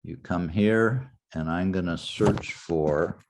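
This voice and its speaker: An older man teaching a genealogy class in a relaxed, anecdotal way, walking the class through a website step by step. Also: low voice, slow, background noise. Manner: anecdotal, conversational, walks through website steps. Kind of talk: informal lecture with screen demo.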